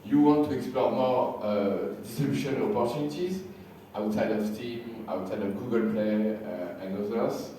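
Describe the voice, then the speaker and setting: A man from France with a French accent, slightly sick and losing his voice, giving a conference talk with halting, repeated words. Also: fairly low pitch, mellow. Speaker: conference speaker. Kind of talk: conference presentation. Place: large hall. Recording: echoey hall from far off, faint hiss.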